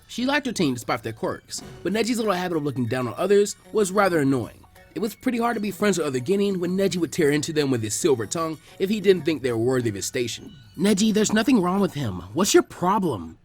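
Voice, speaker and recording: Fast-talking man narrating a story. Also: on the high side, sing-song, husky, faint music behind. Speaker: fan fiction narrator.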